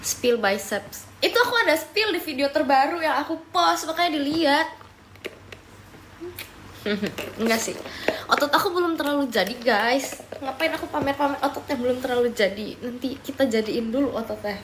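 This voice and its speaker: A woman's voice: high-pitched, lively intonation, normal speed, background noise. A young woman live streaming, chatting casually as she answers viewer comments, often slipping into English mid-sentence while eating and sipping a drink.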